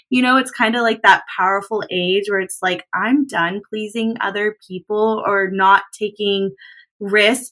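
A woman's voice: mid-range voice, a bit bright. A woman in her thirties hosting a casual chat, talking loosely with plenty of filler words. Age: 30s. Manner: chatty, lots of "like" and "you know".

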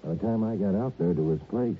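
A man acting a troubled, gloomy insurance man in a 1960s radio drama, subdued and halting. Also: lowish voice, narrow, tinny audio, natural voice. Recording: thin, noisy old radio broadcast.

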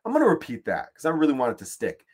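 A man's voice: fairly high pitch, huge pitch swings, racing, slightly rough. A man with a Chicago accent, hosting a podcast and delivering a profane, exasperated, rambling comedic rant. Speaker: podcast host.

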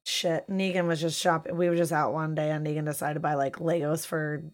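A woman in her thirties hosting a live-streamed chat show, hoarse from losing her voice, talking casually and swearing freely. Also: low voice, brisk. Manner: profane, chatty, animated. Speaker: podcast host.

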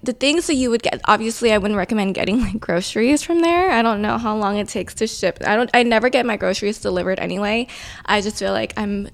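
A woman's voice: medium pitch, quick pace, creaky. A woman hosting a podcast, talking casually and chattily and swearing freely. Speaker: podcast host.

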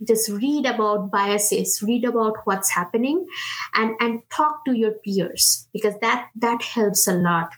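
A woman's voice: fairly high pitch, unhurried, low-quality recording. Indian woman, 30s, product manager giving encouraging advice, conversational, lots of restarts. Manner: earnest, encouraging, many fillers and restarts. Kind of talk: casual interview talk.

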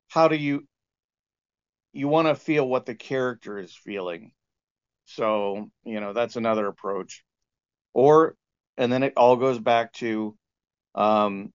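Smooth-voiced man explaining in an interview, dull recording. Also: mid-range voice. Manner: halting, explanatory. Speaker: composer and sound engineer. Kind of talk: informal interview answers. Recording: dull lo-fi audio.

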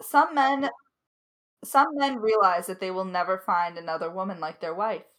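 A woman's voice: medium pitch, moderate pace, fairly bright tone. A woman chatting casually and off the cuff, playfully insistent, with hesitant starts and side tangents. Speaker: audio drama lead writer answering questions. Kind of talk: casual unscripted chat.

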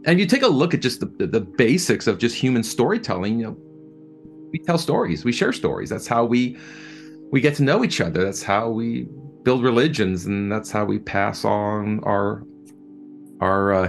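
A man answering interview questions as a producer, speaking thoughtfully and conversationally, often halting and repeating words. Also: on the low side, vocal fry.

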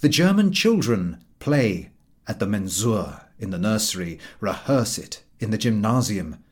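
A man reading a humorous literary essay aloud as an audiobook narrator, in a measured, wry and expressive way. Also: on the low side, breathy edge.

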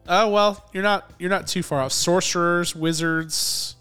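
A man co-hosting a podcast, chatting away and laughing often. His voice sits a bit high for a man and comes through smooth and clear, with a slight hoarseness from a cold. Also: steady tone, a little slow, a bit bright, soft background music. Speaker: podcast co-host. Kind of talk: casual podcast chat.

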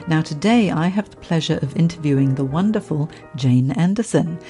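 A woman's voice: deep voice, animated, moderate pace, dark tone, soft background music. A woman hosting a podcast interview, reading an introduction and asking questions in an effusive way. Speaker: podcast host.

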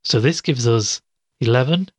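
A man acting a skeptical male investigator hosting a podcast in an audio drama. He has a mid-pitched voice in a very dry recording. He reasons aloud haltingly, unconvinced. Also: slightly rough, dry recording, natural voice. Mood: puzzled, skeptical. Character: skeptical male investigative show host puzzling over clues.

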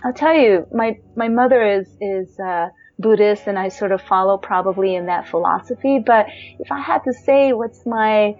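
A woman with a high voice telling her life story in an animated way, acting out quotes and using many fillers. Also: moderate pace, muffled recording. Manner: animated, acts out quotes with pitch jumps, frequent fillers. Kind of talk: personal storytelling.

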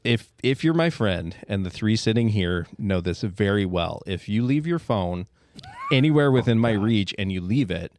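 A man with a fairly low voice tells a story with easy laughter on a casual podcast. The sound is dry and close. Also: lively intonation, normal speed, darkish tone, dry recording. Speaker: podcast co-host. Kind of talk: casual chat.

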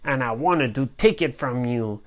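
A sneaky male pirate captain from a bedtime story, gloating and theatrical, voiced by a woman. He talks louder and a little higher than the storyteller's own voice, and he sounds pleased with his own mischief. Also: moderate pace.

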